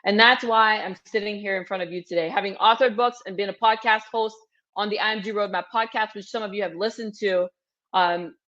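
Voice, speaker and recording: A woman's voice: medium pitch, steady tone, brisk, a bit bright. Upbeat woman physician in her thirties hosting a webinar, direct and encouraging, slightly dull lo-fi audio. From Cameroon. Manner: upbeat, direct, repeats cues like "okay?" to the audience. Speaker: physician hosting a webinar for international medical graduates. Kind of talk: energetic live webinar coaching.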